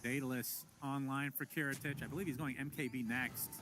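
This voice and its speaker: A man casting a live esports match, calling the play quickly and with excitement, and laughing often. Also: mid-range voice, music in the background.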